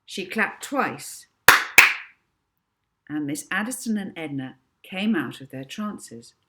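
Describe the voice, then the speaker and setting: A woman author reading her own children's book aloud as expressive narration. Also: lowish voice, slow pace.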